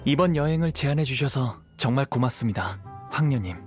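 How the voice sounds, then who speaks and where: Gentle, serene young swordsman played by a man. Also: mid-range voice, lively intonation, brisk, music in the background, close to an everyday voice. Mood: serene, polite. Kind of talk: anime game voice acting.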